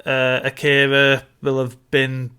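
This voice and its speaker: Bright, forceful man discussing films. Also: mid-range voice, monotone, takes it slowly, smooth. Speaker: podcast co-host. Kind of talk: casual film discussion.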